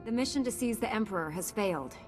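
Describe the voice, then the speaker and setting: A steadfast female Jedi voiced by a woman in a game cutscene. The delivery is direct and businesslike at an ordinary pitch, with soft music underneath. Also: animated, fast talker, natural voice. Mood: serious, steady. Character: resolute female Jedi knight, firm and guarded. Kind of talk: video game cutscene acting.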